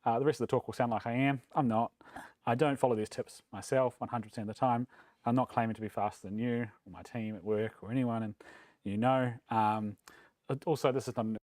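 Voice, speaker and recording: A man's voice: mid-range voice, fairly quick, no echo. New Zealand man giving a casual tech talk. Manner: relaxed, self-deprecating, many ums and uhs. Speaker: conference speaker. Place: meetup room.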